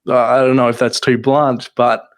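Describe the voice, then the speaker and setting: Man, soft-spoken guest, stop-start delivery, close-miked. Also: mid-range voice, little pitch movement. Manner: soft-spoken, halting with many restarts. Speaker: podcasting guest. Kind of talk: casual interview chat. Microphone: close microphone.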